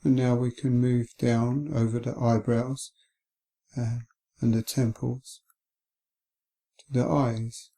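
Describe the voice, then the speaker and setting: Male guided-meditation voice, soft-edged and relaxed, calm and unhurried, flat melody. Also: medium pitch. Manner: gentle, calm, unhurried with long pauses. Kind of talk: guided body scan meditation.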